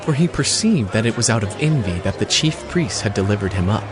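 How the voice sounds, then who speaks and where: A man's voice: on the low side, lively intonation, brisk, crowd noise, background noise. A man reading scripted scripture narration as a drama's narrator.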